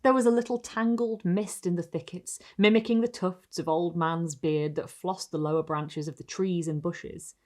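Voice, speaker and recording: A woman with a lowish voice hosts and reads in a literary storytelling style. Her delivery is theatrical and deliberate, with each word carefully enunciated and savoured. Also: steady tone, a little mellow. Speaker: host reading and analysing short stories. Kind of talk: dramatic literary storytelling and presenting.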